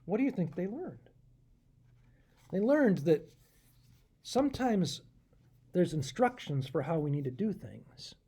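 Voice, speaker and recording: A man, a pastor, telling a children's story in an expressive, animated way and speaking directly to his listeners. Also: high-pitched, measured pace, pauses often, slightly rough. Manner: animated, asks the listeners questions.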